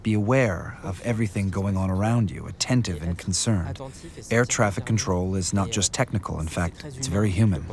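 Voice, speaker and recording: A man's voice: sits low, normal speed, crowd noise. A man giving an English documentary voice-over of an interview, explaining in spoken first person. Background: music.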